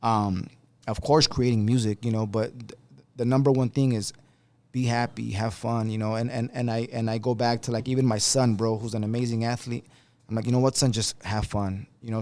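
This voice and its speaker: A middle-aged man speaks earnestly and easily, peppering his talk with "bro." His voice sits in an average pitch range for a man, and he talks in a relaxed, conversational way. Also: brisk. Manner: earnest, laid-back, says bro a lot. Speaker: father and manager of a guest. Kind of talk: casual podcast chat.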